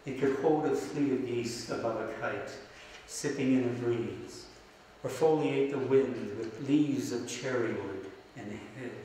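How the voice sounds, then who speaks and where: Slovak-born poet guy reading to an audience, slow and careful, lots of uhs between poems, middle-pitch voice, far off in a big echoey hall. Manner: slow deliberate poem reading, chatty aside with fillers. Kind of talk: poetry reading with anecdotes. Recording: echoey hall, distant mic, faint noise.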